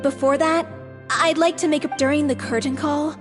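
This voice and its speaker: Woman as an earnest young female performer, high voice, polite, sincere game acting, music behind. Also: steady tone, normal speed, natural voice.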